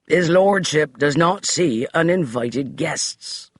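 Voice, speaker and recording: A man voicing a tall, stern butler who speaks in rasping, forbidding tones. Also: on the high side, lively intonation, slow pace, no room sound, voice pushed up. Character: tall stern male butler guarding an earl's door.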